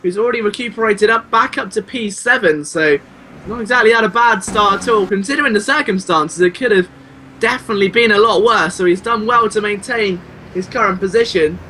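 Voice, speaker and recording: A man with a noticeably high voice commentates live on motor racing. His delivery is excitable and full of exclamation as he follows the action play by play. Car engines are clearly heard behind him. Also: brisk, a little hiss or hum. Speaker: sim racing race commentator.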